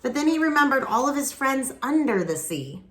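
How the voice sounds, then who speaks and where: Animated woman reading a children's story. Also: high voice. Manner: animated, dramatic pauses, chatty asides to young listeners. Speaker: children's picture book reader. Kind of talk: expressive read-aloud storytelling with casual asides.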